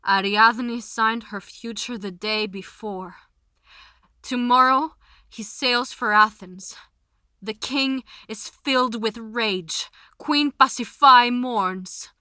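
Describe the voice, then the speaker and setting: Actress as tragic chorus woman, solemn, high voice, slow incantatory delivery, poetic stage drama, soft music bed. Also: big-room sound. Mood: grave. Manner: measured, incantatory. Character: solemn female chorus figure telling a tragic myth. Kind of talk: poetic tragedy narration.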